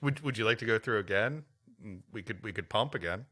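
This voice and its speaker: A man's voice: lowish voice, lively intonation, fairly quick, pushed and bright. A man co-hosting a podcast, reading history aloud and adding dry, playful asides. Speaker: podcast co-host.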